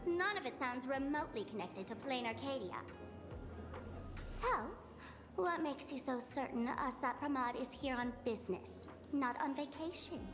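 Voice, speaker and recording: A woman playing a sly, breezy, teasing young woman in a video game, with a playful, expressive delivery. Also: high-pitched, moderate pace, big-room sound, over music. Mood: inquisitive. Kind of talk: video game story voice acting.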